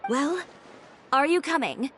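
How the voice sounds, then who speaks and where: A woman playing a brusque, self-assured female video game character with a blunt, deadpan manner and dry wit. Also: high-pitched, takes it slowly, faint music behind, full character voice. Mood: blunt, determined.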